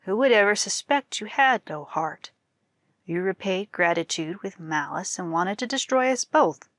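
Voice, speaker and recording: A woman's voice: medium pitch, animated, moderate pace, fairly bright tone, no echo, clean studio sound, lightly acted. A woman voicing an old beggar man in a folktale, a father-in-law scolding reproachfully.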